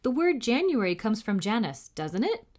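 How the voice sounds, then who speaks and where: A woman's voice: fairly high pitch, sing-song, moderate pace, a little mellow. A woman voicing a polite, curious young boy in a storybook, speaking in an eager, questioning manner. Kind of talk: storybook character reading.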